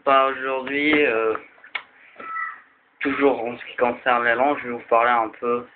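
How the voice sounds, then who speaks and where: A man whose first language is Arabic explains a topic slowly and haltingly, stopping often to restart his sentences. The audio is thin and tinny, like a phone line, with a faint hiss of noise behind him. His voice sits at an ordinary middle pitch. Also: little pitch movement. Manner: hesitant, halting, with frequent restarts and fillers. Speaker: video presenter. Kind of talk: casual explanatory video talk.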